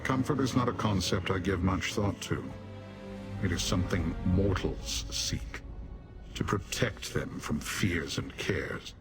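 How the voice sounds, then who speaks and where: Deep-voiced, haughty demon commander. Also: male voice, over music. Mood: disdainful. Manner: formal, condescending, deadpan, measured. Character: haughty male Dremora demon warrior commander, coldly disdainful of mortals. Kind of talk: video game character dialogue.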